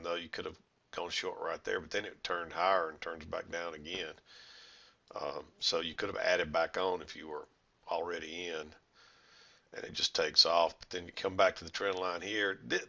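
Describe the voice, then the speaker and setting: A middle-aged man with a hoarse, congested voice from a head cold, explaining chart trades in a rambling, self-correcting way. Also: low voice, sharp tone, highs cut off. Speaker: trading educator. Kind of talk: screen-share trading recap.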